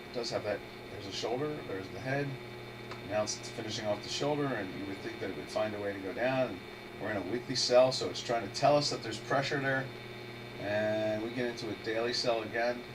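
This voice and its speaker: A man fighting a head cold who hosts a live trading webinar, thinking aloud in a rambling way and restarting his sentences. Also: medium pitch. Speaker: trader hosting a live webinar. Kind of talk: casual live market commentary, thinking aloud. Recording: distant mic with steady electrical hum.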